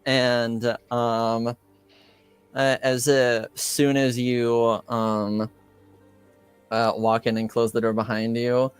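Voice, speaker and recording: Male game master: smooth clean voice, halting improvised narration, unhurried, faint music bed. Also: medium pitch, flat delivery, no room sound. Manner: hesitant, searching for words.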